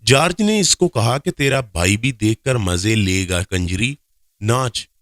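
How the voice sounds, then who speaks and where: A man's voice: on the low side, lively intonation, slow, a bit bright, no room sound, studio quality. A man reading a scripted first-person story aloud as a narrator.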